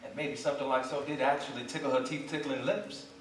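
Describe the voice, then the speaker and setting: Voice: mid-range voice, steady tone, fast talker, slight background noise. A person reading a literary story aloud theatrically, as one of an ensemble on stage. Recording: very echoey live theatre, distant mic.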